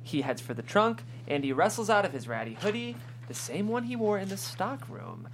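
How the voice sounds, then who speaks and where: A woman narrating stage directions at a script table read, sounding amused and lightly animated. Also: mid-range voice, normal speed, slight background noise.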